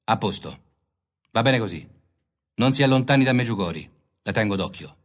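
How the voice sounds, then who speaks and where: A man's voice: lowish voice, lively intonation, normal speed, natural voice. A man playing a pedantic, suspicious interrogator in a comic radio play, asking methodical, insistent questions. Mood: curt, suspicious. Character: persistent, pedantic male interrogator, solemnly suspicious.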